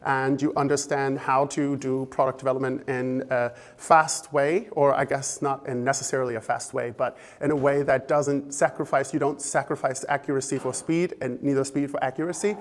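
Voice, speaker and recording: An American man with a slight German-influenced accent, giving an impromptu talk to an audience, halting, with many fillers and restarts. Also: mid-range voice, level tone. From United States. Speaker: speaker at a fellowship event.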